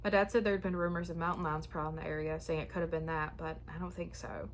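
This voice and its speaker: Young woman reading scary stories at home, kinda low voice, bit hoarse, faint hum behind. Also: fast. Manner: chatty, frank and a bit frazzled when talking, steady when reading. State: starting to lose her voice. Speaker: horror story channel host. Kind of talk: casual chat and reading listener stories aloud.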